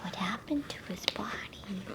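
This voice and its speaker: Storybook schoolgirl, played by a woman: anxious older sister, higher pitch, urgent hushed questioning. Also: lively intonation, measured pace, whispered. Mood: upset. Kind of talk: whispered ASMR storybook reading.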